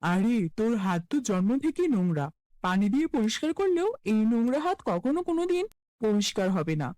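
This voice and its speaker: A woman voicing a cruel, scolding middle-aged mother in a cartoon fairy tale, speaking with sharp contempt. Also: fairly high pitch, big pitch swings, fairly quick, no room sound, pitched below the performer's own voice. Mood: contemptuous.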